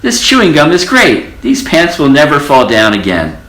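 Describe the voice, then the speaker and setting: Man putting on a high, excitable old storybook mouse. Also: moderate pace, reverberant. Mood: overjoyed. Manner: shouting, high-pitched exclamations. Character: grumpy, excitable old male mouse in distress.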